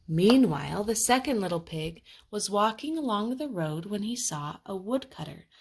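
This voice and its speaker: A woman reading a children's storybook aloud with expressive, dramatic narration. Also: mid-range voice, normal speed, creaky, husky.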